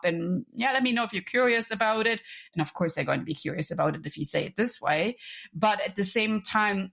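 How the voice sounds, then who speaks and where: A woman's voice: mid-range voice, creaky. Enthusiastic European woman coach. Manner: enthusiastic, chatty, many restarts and fillers. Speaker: guest, business coach and professor. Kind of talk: conversational podcast interview.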